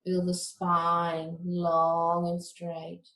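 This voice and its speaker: A woman teaching meditation, giving guided relaxation instructions in a calm, measured, soothing manner. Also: fairly low pitch, roomy sound.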